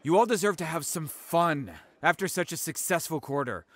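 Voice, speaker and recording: A man's voice: fairly high pitch, expressive, moderate pace, dry recording. A man acting a smug, wealthy company boss giving a speech to staff. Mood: smug, celebratory. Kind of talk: party speech in audio drama.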